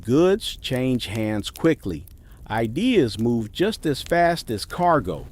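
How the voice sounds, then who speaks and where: Male documentary narrator, animated pitch, measured and emphatic. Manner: measured, emphatic list delivery.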